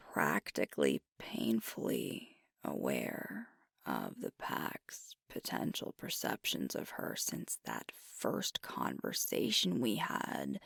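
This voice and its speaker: Woman playing a slow, breathy werewolf alpha girlfriend. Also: extremely low pitch, fairly bright tone, no room sound, clean studio sound, heavily acted. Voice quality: breathy, often whispering. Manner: hesitant, tender, earnest, with long pauses. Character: sweet, self-critical alpha werewolf girlfriend, burdened pack leader. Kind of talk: intimate ASMR roleplay.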